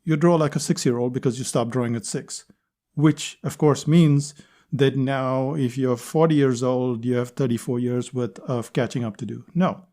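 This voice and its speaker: A man's voice: medium pitch, fairly even intonation, moderate pace, warm tone. A man hosting a solo podcast, talking in an earnest, conversational way and repeating phrases for emphasis. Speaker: podcast host.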